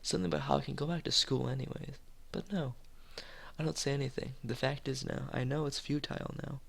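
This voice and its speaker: A man's voice: mid-range voice, steady tone, fast, gravelly, a bit bright. Man reading as a bitter, brooding teenage boy. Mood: resigned, brooding. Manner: brooding, resentful. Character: bitter, shaken teenage boy in a visual novel. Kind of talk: visual novel read-aloud. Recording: game music behind the voice.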